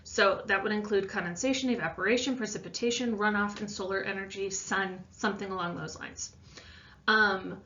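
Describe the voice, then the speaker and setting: A woman's voice: mid-range voice, a bit bright. A woman teacher giving an informal voice-over lesson, explaining an assignment in a loosely worded, conversational way. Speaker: science teacher. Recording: distant mic, slightly muffled sound.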